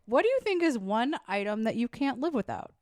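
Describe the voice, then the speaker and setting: Woman, 30s. Animated, easygoing podcast co-host with a smooth voice. Dry, close recording. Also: a little high, fairly quick, no room sound. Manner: animated, supportive, mock-whiny. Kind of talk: casual comedy chat.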